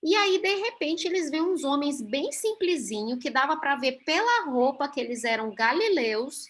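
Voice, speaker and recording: A woman's voice: high-pitched, big pitch swings, moderate pace, fairly bright tone. A Brazilian woman teaching a Bible class over a video call, explaining step by step and often checking in with her listeners. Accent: Brazilian Portuguese.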